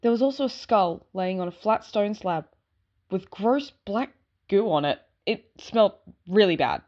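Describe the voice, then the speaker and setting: A woman playing an excitable, dog-like young werewolf in an audio drama, talking in an informal, rambling way. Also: medium pitch, normal speed, highs cut off, natural voice. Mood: puzzled storytelling. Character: excitable dog-like young female werewolf giving her statement. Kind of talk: audio drama acting, first-person storytelling.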